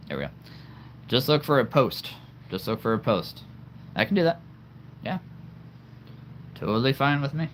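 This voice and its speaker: A man's voice: mid-range voice, sing-song, measured pace, long pauses, slight background noise. A man hosting a livestream in casual chat, laughing often and stammering over repeated words. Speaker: livestream host.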